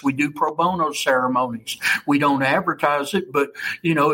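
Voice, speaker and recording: Interview guest: man, fifties, Kentucky accent, forceful and fairly high voice, blunt, salty, casual storytelling. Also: poor audio. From United States. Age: 50s. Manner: blunt and salty, checks in often with "okay?" and "you know". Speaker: interview guest, ayahuasca ceremony leader.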